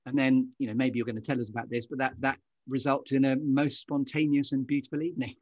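A man's voice: medium pitch, little pitch movement, fast, no room sound, muffled recording. A man hosting a podcast interview in an effusive, chatty way, with wordy, meandering asides. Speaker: podcast host.

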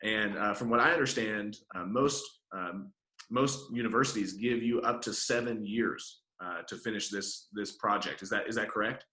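A man hosts a relaxed interview and heaps praise on his guest. His voice is fairly low but sharp and forceful, and he leans on lots of fillers. The room adds a clear echo. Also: normal speed. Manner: affable, praising, filler-heavy. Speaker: host. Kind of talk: casual interview chat.

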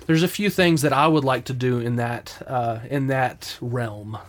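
A man co-hosting a faith podcast, speaking thoughtfully with frequent pauses and fillers. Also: medium pitch. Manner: thoughtful, hesitant, many fillers. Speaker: podcast co-host. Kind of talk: casual podcast chat.

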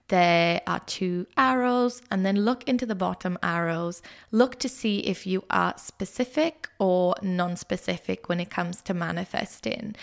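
Young woman podcast host, croaky from a cold, chatty solo delivery. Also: mid-range voice. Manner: chatty storytelling, quick asides.

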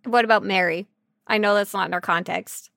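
A woman's voice: medium pitch, fairly even intonation, fairly quick, vocal fry, a bit bright, no room sound, studio quality. A woman co-hosting a podcast in casual, playful banter with a silly, joking manner. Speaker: podcast co-host.

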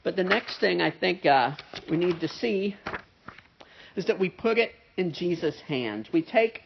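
A male pastor with a nasal, gravelly voice, pitched a bit higher than most men. He preaches casually, tossing in "right?" and "uh" as he goes. The recording is dull and muffled, as if from a church service. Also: expressive, a little slow, background noise. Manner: conversational preaching with frequent "right?" and "uh" fillers. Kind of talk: sermon. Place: church service with a pianist present.

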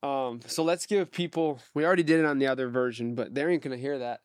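A man's voice: medium pitch, steady tone, quick pace, clear, resonant tone. A young man with a mid-range voice hosts a podcast in a loose, rambling manner, swearing casually and laughing a lot. His voice is recorded close and dry, with no room echo. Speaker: podcast host, producer.

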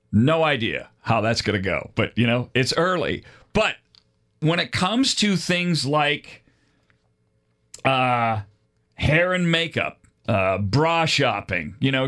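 A man's voice: medium pitch, sharp tone. A middle-aged man hosting a casual conversational interview in an informal, plain-spoken way. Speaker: podcast host.

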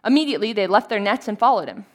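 A woman reading scripture aloud in a calm, measured way. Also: mid-range voice. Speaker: scripture reader.

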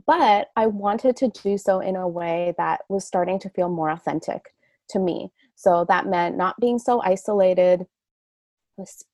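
A woman's voice: medium pitch, darkish tone, no room sound, studio quality. A middle-aged woman from Canada, a guest on a podcast, speaking earnestly and reflectively in long flowing sentences. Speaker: guest, coach. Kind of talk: podcast interview.

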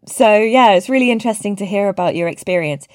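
A woman's voice: mid-range voice, quick pace, a little mellow, no echo. Woman, interviewer, chatty, lots of fillers, dry close sound, video call. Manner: chatty, with frequent fillers and restarts. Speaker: interviewer and teaching team lead.

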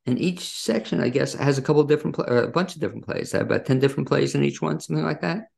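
A man's voice: fairly high pitch, very fast, creaky, darkish tone. A man hosting an interview podcast over a video call, addressing listeners and his guest. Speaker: podcast host. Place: remote video call from his own studio.

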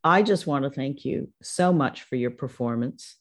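A woman's voice: very deep voice, animated, normal speed. A woman hosting a podcast and interviewing a guest, effusive and full of praise. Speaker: podcast host and producer. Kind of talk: casual interview.

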